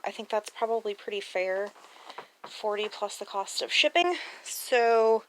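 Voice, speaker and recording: A woman's voice: mid-range voice, unhurried, fairly bright tone, a little hiss or hum, rough recording. A woman chatting casually and informally as a reseller vlogger, with mild slang.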